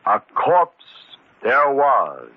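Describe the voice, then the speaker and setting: Eerie, solemn horror-show announcer: drawn-out, swooping delivery, theatrical old-radio menace. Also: male voice, medium pitch, huge pitch swings, very noisy, heavily acted. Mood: ominous. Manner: slow, ominous, dramatic. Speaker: announcer of a mystery anthology. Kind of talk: theatrical 1940s radio introduction. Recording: dull old radio broadcast, loud music.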